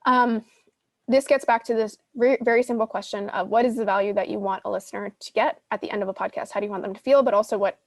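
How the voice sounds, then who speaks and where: A woman's voice: medium pitch, fairly even intonation, quick pace, no room sound. Casual woman giving advice, dry close sound. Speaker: podcast host teaching a live Q&A session. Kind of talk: casual advice, answering audience questions.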